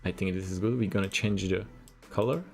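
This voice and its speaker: Gravelly Czech-accented man narrating a tutorial. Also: low voice, brisk, a little mellow, faint music behind. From Czech Republic. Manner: plain step-by-step instructions, repeats "we're gonna". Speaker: programming tutor. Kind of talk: screen-recorded coding tutorial.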